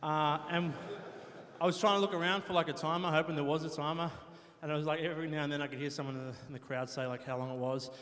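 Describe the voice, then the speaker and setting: A man's voice: on the high side, fairly even intonation, quick pace, fairly bright tone. A man giving a casual, easygoing post-match interview. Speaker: competitor being interviewed. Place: competition arena. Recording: echoey hall with long reverb.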